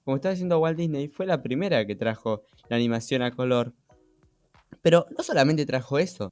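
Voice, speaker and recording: A man's voice: medium pitch, darkish tone. A man co-hosting a podcast, explaining things in a conversational way. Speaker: podcast co-host. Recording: music behind the voice.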